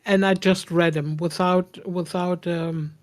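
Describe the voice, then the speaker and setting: A speaker with a noticeable non-native accent chats in English, mid-pitched and unhurried. They pause and restart often, hunting for the right word. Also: flat delivery. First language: German-speaking upbringing. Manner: hesitant, searching for words. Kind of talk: casual chat.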